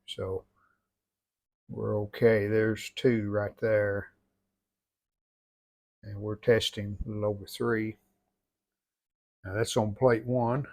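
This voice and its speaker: Low, creaky-voiced man. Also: level tone, slow pace, pauses often, darkish tone. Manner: plain-spoken, folksy, matter-of-fact. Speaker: hobbyist repair vlogger. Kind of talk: unscripted workbench how-to commentary. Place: indoor home workshop at a workbench.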